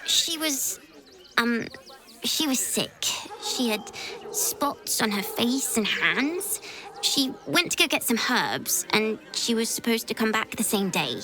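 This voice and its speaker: A woman's voice: a little high, big pitch swings, takes it slowly, pushed and bright, faint music behind, exaggerated voice. A woman playing a timid, polite young refugee child in a video game, speaking hesitantly. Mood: timid, worried.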